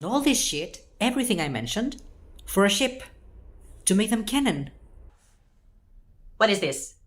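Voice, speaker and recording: A woman's voice: medium pitch, very animated, a little slow. A woman delivering an impassioned opinion rant in an emphatic, exasperated way, repeating phrases for effect and swearing casually. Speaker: fan commentator.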